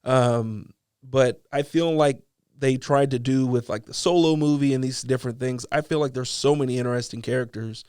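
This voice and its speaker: A man on a podcast talking things over at an easy, wandering pace, full of "um" and "like" as he thinks aloud. His voice sits in a middle pitch. The sound is dry and close, with no room around it. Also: moderate pace, darkish tone, clear, resonant tone, no room sound. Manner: thoughtful, rambling with many fillers. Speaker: podcast co-host. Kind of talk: casual chat.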